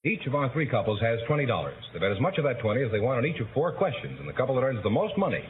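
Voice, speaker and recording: A man announcing scores and rules on a radio quiz show in a brisk, formal manner. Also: medium pitch, sing-song, a little hiss or hum. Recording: narrow lo-fi old radio broadcast.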